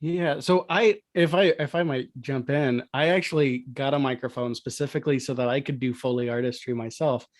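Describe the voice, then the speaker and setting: Male podcast guest, conversational and somewhat halting explainer, recorded dry. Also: medium pitch, steady tone, dry recording. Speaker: podcast guest, audio drama producer. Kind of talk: casual explanatory chat.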